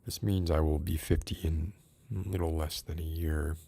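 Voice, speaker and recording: A man's voice: very deep voice, steady tone, normal speed, vocal fry, warm tone, husky. A man in his forties hosting a livestream, musing and rambling in a wry way and greeting viewers in chat by name. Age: 40s. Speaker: livestream host.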